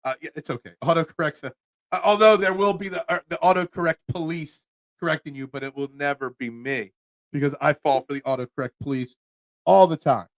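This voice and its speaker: A man's voice: a little high, fairly quick, no room sound. A man in his forties with a Philadelphia accent, hosting a solo sports talk show and rambling with stammered restarts as he reads chat. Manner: rambling, stammering restarts, chatty asides to viewers.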